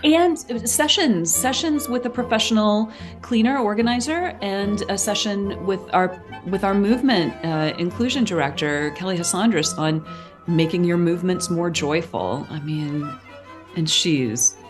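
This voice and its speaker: A woman's voice: medium pitch, measured pace, music in the background. A woman speaking as a podcast guest in a casual, conversational style. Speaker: podcast guest, theatre artistic director.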